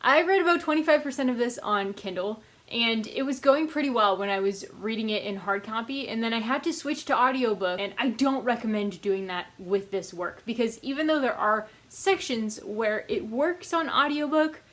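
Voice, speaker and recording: A woman giving a casual, chatty book review as host of her own channel, with an animated, emphatic way of talking. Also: a little high, moderate pace, hardly any pauses. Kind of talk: casual scripted-loose book review to camera.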